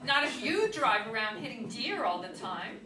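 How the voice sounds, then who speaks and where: A woman's voice: a little high, very animated, moderate pace, crowd noise. A woman acting a contrary, aggrieved wife in her forties in a staged comedy reading, dryly complaining and contradicting. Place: echoey room, mic away from performers. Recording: roomy distant stage recording, faint noise.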